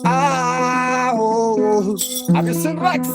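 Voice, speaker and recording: A Kenyan man with a Kenyan accent, giving playful, drawn-out shout-outs to viewers during a live music stream. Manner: drawn-out, playful, breaks into laughs and high whoops. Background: loud traditional string music with rattles.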